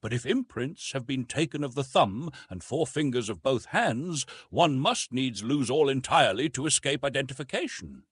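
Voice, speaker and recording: A man voicing a patient, authoritative police official in a storybook, explaining things in a measured, formal, precise way. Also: mid-range voice, animated, breathy edge, no echo, studio quality, voice pushed up. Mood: instructive.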